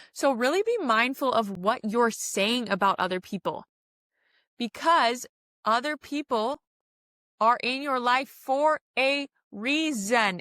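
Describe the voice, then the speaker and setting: A woman's voice: on the high side, fairly bright tone, no echo, clean studio sound. A woman giving an informal spiritual self-help monologue as a podcast host, speaking earnestly and repeating phrases for emphasis.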